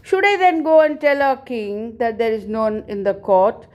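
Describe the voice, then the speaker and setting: A woman's voice: a little high, a little slow, a little mellow, highs cut off, natural voice. A woman voicing a challenging male royal envoy in a folk tale, formal and pointed.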